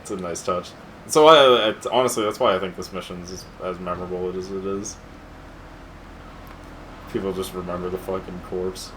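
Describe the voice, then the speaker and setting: Deep-voiced sweary gamer guy over game noise. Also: fairly even intonation, moderate pace, pauses often, a bit bright, poor audio. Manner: heavy swearing, sarcastic joking. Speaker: let's play co-host, gamer. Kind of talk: casual gameplay commentary. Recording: clear game noise behind voice.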